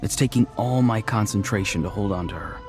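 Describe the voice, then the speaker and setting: An actor playing a careful, apologetic young male mystic in a video game, speaking politely and gently in measured phrases. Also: fairly low pitch, faint music behind, natural voice. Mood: careful, pleading.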